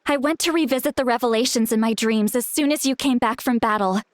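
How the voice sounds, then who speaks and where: Young woman seer for a game, earnest and urgent, high-pitched, voiced by an actress. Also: brisk, no room sound, clean studio sound, natural voice. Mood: worried. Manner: urgent, explaining, hesitant asides. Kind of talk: English video game voice acting. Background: faint game music.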